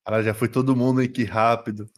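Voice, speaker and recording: A man's voice: mid-range voice, level tone, quick pace. A man with a Brazilian accent bantering casually as game master and host of a podcast.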